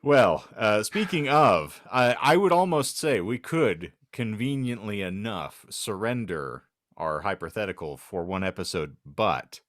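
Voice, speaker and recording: A man's voice: fairly low pitch, animated, a little slow, a bit bright, no echo, studio quality. A man hosting a casual comedy podcast, talking in a wry, wordy way with florid vocabulary. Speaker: podcast host.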